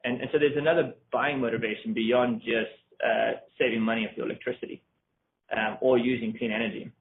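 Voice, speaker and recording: Male executive, creaky mid-pitched voice, repetitive stop-start phrasing, phone-quality audio with slight noise. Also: steady tone, a little fast. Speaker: company executive. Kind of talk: unscripted talk on an earnings call. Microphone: conference call line. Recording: phone-like line, faint noise.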